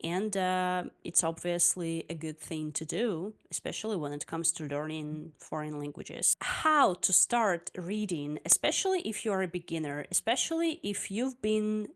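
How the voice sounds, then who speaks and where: A woman's voice: lowish voice, lively intonation, unhurried, hardly any pauses. A woman, an online English tutor talking casually to her viewers. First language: Russian. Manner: chatty and personal, with frequent "like" and "uh". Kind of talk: casual talk to camera.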